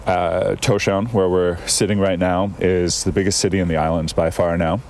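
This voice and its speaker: A man's voice: deep voice, little pitch movement, normal speed, a little mellow, a little hiss or hum. A man in casual conversation, telling stories thoughtfully, with frequent fillers and digressions. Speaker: guest. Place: outdoors in a town.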